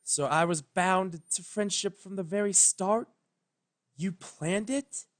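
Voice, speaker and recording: A man as a grieving, slow-spoken alicorn princess. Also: high voice, pitched above the performer's own voice. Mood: hurt. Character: grieving, troubled young female alicorn princess. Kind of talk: fan fiction storytelling.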